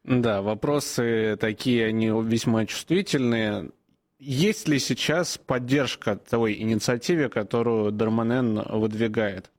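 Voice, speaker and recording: A male radio host puts short, measured questions to his guest. He keeps the exchange moving and wraps up the segment in a steady broadcast manner, at an ordinary pitch for a man. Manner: brief, measured questions and sign-off.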